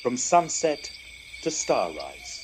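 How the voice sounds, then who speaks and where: A man giving a solemn, deliberate and dramatic recitation of poetry. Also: mid-range voice, huge pitch swings, noisy background. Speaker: poetry reader.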